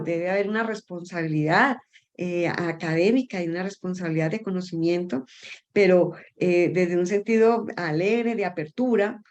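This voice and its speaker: A middle-aged woman talking casually as an interview guest, often searching for words and repeating them. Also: mid-range voice. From Colombia. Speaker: interview guest, energy company founder.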